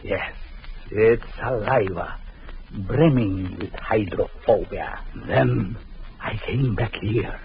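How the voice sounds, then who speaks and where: A gloating jealous villain doctor. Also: male voice, fairly low pitch, vocal fry, a little hiss or hum. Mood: menacing. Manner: slow, taunting, sinister. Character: vengeful jealous male doctor, gloating and cruel. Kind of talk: 1940s radio acting. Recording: muffled old radio recording.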